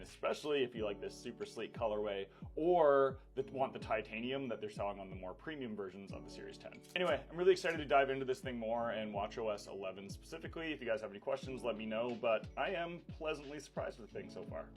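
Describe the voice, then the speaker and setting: A man giving a casual tech review in an informal, conversational, enthusiastic way, as a vlogger would. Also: medium pitch. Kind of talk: casual product review vlog. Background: background music.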